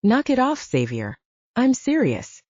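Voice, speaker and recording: A woman's voice: a little high, wildly swooping pitch, a little slow, warm tone, voice pushed up, heavily acted. A woman playing a guarded, stubborn, sarcastic heroine with a wry, firm manner in an audiobook. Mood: annoyed. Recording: dull lo-fi, roomy sound.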